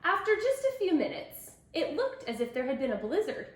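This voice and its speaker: Woman, high-pitched voice, echoey room, children's read-aloud, expressive storyteller. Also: brisk. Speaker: storybook reader. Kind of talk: children's picture book read-aloud with asides to young listeners.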